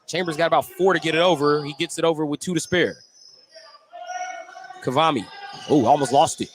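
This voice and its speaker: A man's voice: fairly high pitch, brisk. A man calling live basketball play-by-play for radio from a noisy indoor gym. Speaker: play-by-play announcer. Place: indoor school gymnasium. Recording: noisy gym ambience, bouncing balls.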